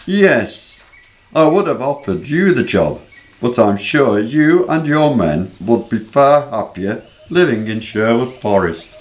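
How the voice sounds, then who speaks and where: A man's voice: mid-range voice, very animated, slow, low-quality recording. An amateur actor playing a courteous, dignified king in a radio play. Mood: regal. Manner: stately, courteous. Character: gracious male king, dignified. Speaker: King Richard.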